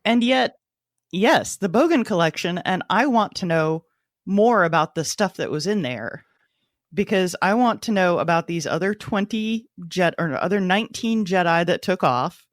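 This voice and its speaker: A woman's voice: fairly low pitch, lively intonation, normal speed, dry recording, studio quality. A woman co-hosting a casual book club podcast, speaking in an inquisitive, emphatic way. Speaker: podcast co-host.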